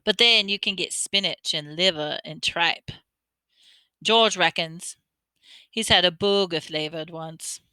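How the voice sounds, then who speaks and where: A woman's voice: fairly low pitch, takes it slowly, pushed and bright, smooth, no room sound. A woman voicing a chatty, good-humoured young schoolboy in an offhand way.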